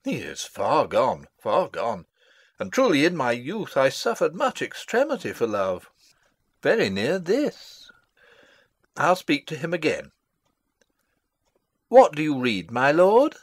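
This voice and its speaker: A man's voice: a little high, huge pitch swings, slow, long pauses, husky, dry recording, exaggerated voice. Guy acting a pompous old royal advisor, meddling, voice swoops wildly, very dry sound, shakespeare play. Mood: probing. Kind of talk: Shakespearean dramatic reading.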